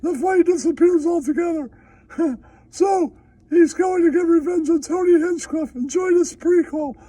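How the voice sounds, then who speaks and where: A man voicing a gleeful, manic puppet announcer who cackles and shouts comic hype. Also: extremely high pitch, unhurried, no echo, pitched above the performer's own voice.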